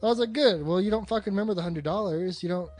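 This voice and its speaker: A man's voice: high voice, fast talker, darkish tone, faint music behind. A man talking casually and emphatically about films as a podcast co-host, opinionated and swearing freely. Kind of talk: casual film discussion chat.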